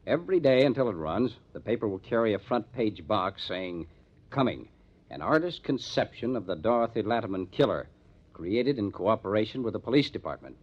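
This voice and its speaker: An actor playing a hard-nosed, determined police detective in an old-time radio crime drama, speaking in a measured, emphatic way. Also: male voice, fairly low pitch, sing-song, husky. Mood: grimly determined. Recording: dull lo-fi old radio recording.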